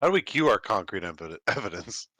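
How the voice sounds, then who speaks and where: A man's voice: fairly low pitch, fast, no echo. A man playing a tabletop game, chatting casually and wisecracking with the group. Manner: animated, wisecracking. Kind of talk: casual joking table chat.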